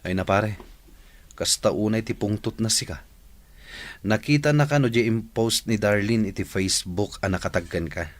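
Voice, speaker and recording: A man's voice: lowish voice, moderate pace, natural voice. A man playing a gossipy, indignant friend who bears bad news in an Ilocano Filipino radio drama. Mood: scolding.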